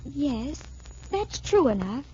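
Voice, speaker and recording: A woman's voice: sits high, big pitch swings, takes it slowly, darkish tone, dull audio, a little hiss or hum, full character voice. A woman playing an earnest, anxious teenage girl in 1940s radio adventure style, speaking with urgent emphasis. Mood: urgent, pleading. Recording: band-limited 1940s radio recording.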